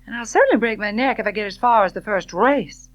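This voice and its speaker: A woman's voice: mid-range voice, animated, fast talker, no room sound, dull audio, voice pushed up, lightly acted. A woman voicing a proud, headstrong young widow in an audiobook, bold and emotionally intense. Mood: despairing. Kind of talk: audiobook character dialogue.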